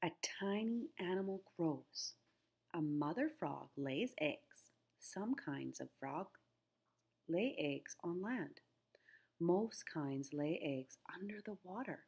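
A woman's voice: fairly low pitch, expressive, slow, a little mellow, breathy edge. A middle-aged woman teacher reading a children's nature book aloud, phrasing things simply and putting questions to young listeners.

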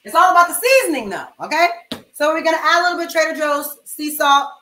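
A woman's voice: sits high, expressive, quick pace, pushed and bright, echoey room. A young woman chatting casually and slangily to viewers as she hosts a cooking livestream from her home kitchen. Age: young adult. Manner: informal, slangy, chatting to viewers while moving around. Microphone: webcam-style mic at arm's length or farther.

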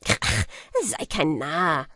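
A woman reading an audiobook, putting on a lower voice for a cheerful, unbothered male journeyman smith who teases his fearful companion. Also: drawn-out words, no room sound, studio quality, exaggerated voice. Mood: brushing off. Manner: calm, mocking, reassuring, lively intonation. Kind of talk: audiobook character voice.

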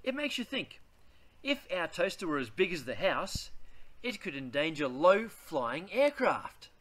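A man, reading a children's picture book aloud in a deliberate, theatrical way. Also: fairly high pitch, very animated, a bit bright. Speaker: read-aloud host.

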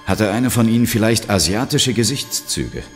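A man plays a determined ghost hunter in a horror audio drama. His voice is fairly low and expressive, cool and defiant, with music behind. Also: moderate pace, natural voice. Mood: businesslike.

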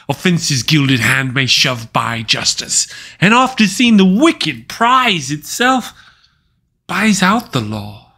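Guy acting guilty usurper king praying, slow heavy shakespeare soliloquy, tormented and pleading. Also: medium pitch, sharp tone, exaggerated voice. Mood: bitter reckoning. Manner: dramatic, deliberate delivery with weighty pauses. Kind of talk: classical Shakespearean stage soliloquy.

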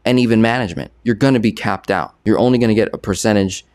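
A young man giving casual, energetic talking-head commentary on money into a studio boom mic, repeating himself and gesturing a lot. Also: fast, no room sound. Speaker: personal finance creator. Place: small bright studio. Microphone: boom arm studio mic.

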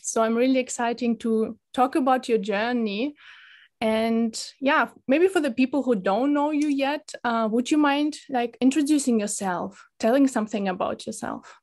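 A woman's voice: a little high, normal speed, darkish tone. A young adult woman hosting an interview over a video call, asking friendly questions full of fillers.

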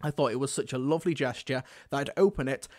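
A man's voice: mid-range voice, fast, a little mellow. A young man presenting a scripted review to camera in an emphatic, conversational way, close to a desk microphone. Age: young adult. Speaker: video reviewer.

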